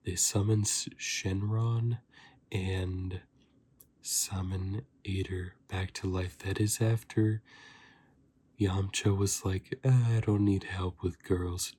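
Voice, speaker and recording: A man giving a softly spoken ASMR review as a host, breathy and often slipping into a whisper, chatting informally and rambling like a fan. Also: sits low, little pitch movement, takes it slowly. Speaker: host of an anime review channel.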